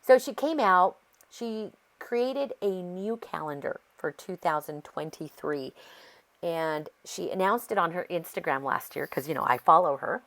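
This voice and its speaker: A woman's voice: lowish voice, animated, measured pace, fairly bright tone. An American woman with a congested, sore-throat voice, chatting casually and rambling as she narrates a craft process. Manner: chatty, rambling, easily sidetracked. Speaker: craft video host.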